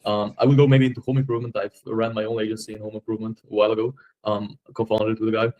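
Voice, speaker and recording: Very fast-talking man with a Slovenian accent. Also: lowish voice, little pitch movement, darkish tone, dull audio. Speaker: agency owner on a sales call. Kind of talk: casual sales conversation.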